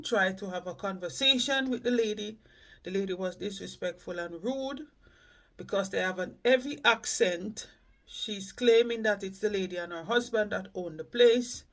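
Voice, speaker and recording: A man from the Caribbean giving frank, earnest reaction commentary as a host. His voice sits high for a man and comes across measured. He sounds a little distant from the microphone. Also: bright, forceful tone.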